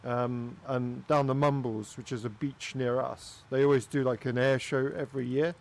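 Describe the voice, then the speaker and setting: A man's voice: medium pitch, fairly even intonation, normal speed, gravelly. A man from Wales with a Welsh accent, giving casual, chatty commentary while flying a flight simulator. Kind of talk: casual gaming commentary with chat.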